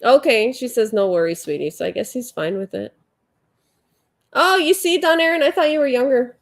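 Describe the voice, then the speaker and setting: A woman's voice: on the high side, lively intonation, normal speed. A congested woman in her forties hosting a casual livestream at home, rambling and chatty as she reads viewer comments. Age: 40s. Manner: chatty, rambling, with frequent fillers. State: congested, sniffling. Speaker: livestream host. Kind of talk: casual live chat, reading viewer comments.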